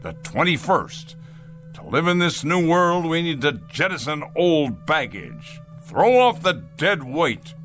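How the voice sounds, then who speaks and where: A callous corporate boss from a sci-fi radio play. His delivery swings animatedly and takes its time, with a forceful, sarcastic edge. He domineers and declaims over a music bed. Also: a little high, very animated, a little slow, exaggerated voice. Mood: brisk, condescending. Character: callous, sardonic company boss obsessed with profit. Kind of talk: scripted sci-fi radio drama acting.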